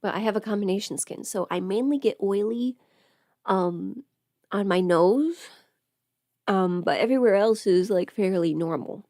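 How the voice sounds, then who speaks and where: A woman chats casually on a livestream as she gets ready. Her pitch sits in a middle range for a woman, and she rambles, breaking off to think aloud. The sound is close and dry, with very little room on it. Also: moderate pace, darkish tone, no room sound. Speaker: livestreamer chatting with viewers.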